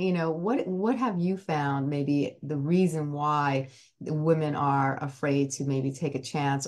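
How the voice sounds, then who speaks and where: A woman hosting an interview, talking in a warm, rambling way with many fillers. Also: low-pitched. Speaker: host.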